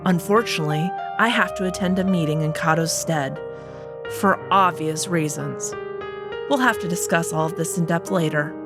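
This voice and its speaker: A woman's voice: lowish voice, normal speed, close to an everyday voice. Woman doing a stern wolf noblewoman, visual novel character, formal n dismissive, music playing behind her. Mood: cool, businesslike. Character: stern, bitter female wolf aristocrat.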